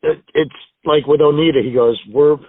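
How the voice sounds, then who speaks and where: A man's voice: a little high, fairly even intonation, moderate pace, no echo. A man from the United States, a wrestler guest, telling stories in a rambling, self-deprecating way and laughing often. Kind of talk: casual interview storytelling. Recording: tinny dry phone line.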